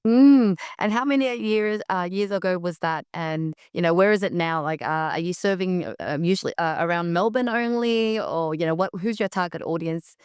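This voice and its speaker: A woman hosting a podcast interview in a friendly, curious way, running several questions together in one breath. Her voice sits a little low and sounds smooth and clear. It is recorded dry and close, with no room sound. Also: moderate pace, few pauses, a little mellow, dry recording. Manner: friendly, curious, asking rambling strings of questions. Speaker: podcast host. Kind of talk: casual interview.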